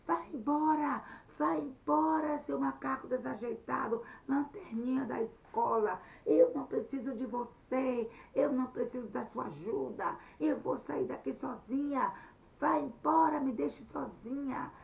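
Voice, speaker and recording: Lady doing a bratty schoolgirl yelling high and shrill, dull lo-fi sound. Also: unhurried, creaky, roomy sound. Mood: furious. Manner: shrill, scolding, shouting. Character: proud angry schoolgirl shouting. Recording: distant, dull lo-fi recording.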